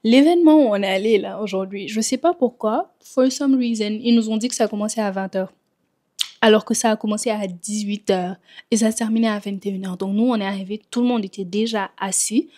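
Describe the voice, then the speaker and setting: A woman's voice: medium pitch, a little fast, warm tone. A woman from Senegal chatting in a home vlog, a little congested from a cold, dropping English phrases into her talk. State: recovering from a cold. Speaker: vlogger. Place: at home in Montreal.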